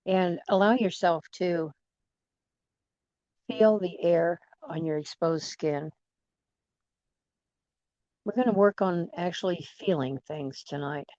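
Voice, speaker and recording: A woman leading guided imagery in a soothing, gentle manner, leaving long pauses between phrases. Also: fairly low pitch, dry recording, dull audio. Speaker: guided meditation leader.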